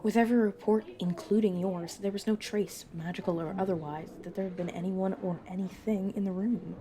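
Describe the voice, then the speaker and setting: A woman playing a brisk, protective vampire mentor in an audio roleplay, talking casually and teasingly to a new fledgling. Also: medium pitch, fairly even intonation, noisy background, natural voice. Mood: serious, confiding. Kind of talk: narrative audio roleplay, addressed to the listener.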